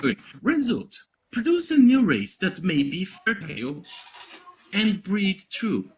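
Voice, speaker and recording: A man reading aloud mockingly in casual banter, halting often with exasperated, incredulous asides. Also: high voice, wildly swooping pitch, breathy edge, poor audio. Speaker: reader on a comedy reading show.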